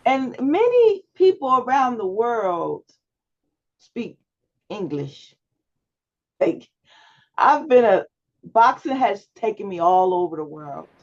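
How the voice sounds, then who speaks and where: A woman instructor leading an online class discussion, speaking in an unhurried, encouraging way with frequent fillers over a video call. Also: fairly high pitch, sing-song, a little mellow. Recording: dull lo-fi video call audio.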